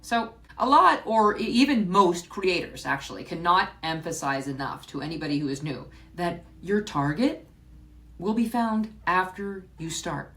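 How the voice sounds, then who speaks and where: A woman's voice: fairly low pitch, expressive, moderate pace, fairly bright tone. A woman hosting her own podcast, talking alone to her listeners in a chatty way, often repeating words, restarting her sentences and laughing now and then. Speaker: podcast host. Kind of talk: solo podcast reflection.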